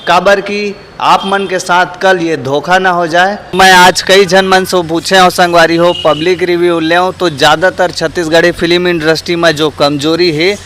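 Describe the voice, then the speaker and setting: High-pitched Chhattisgarhi man presenting to viewers. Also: steady tone, normal speed, a bit bright, slight background noise. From Chhattisgarh, India. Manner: earnest, addresses viewers directly as friends. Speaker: host and street interviewer. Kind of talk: vlog presenting with public opinion questions.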